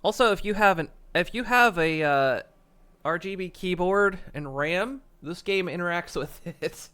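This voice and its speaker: A man with a slightly raised voice chats to his stream audience while clearly congested and stopped up. He rambles, laughs often, and trips over his own sentences. He sounds like he is talking into a desk mic at a home setup. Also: high voice, a little slow, sharp tone. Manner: rambling, frequent chuckles and restarts. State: congested, stuffy nose. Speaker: livestreamer. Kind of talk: casual stream chat. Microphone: desk microphone.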